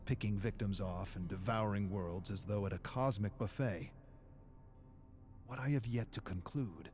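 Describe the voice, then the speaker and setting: An actor playing a weary, scholarly archivist of a dark otherworld, reading journal entries for a game in a measured, brooding way. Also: male voice, on the low side, close to an everyday voice. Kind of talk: scripted dramatic narration, journal entries. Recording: music behind the voice. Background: music.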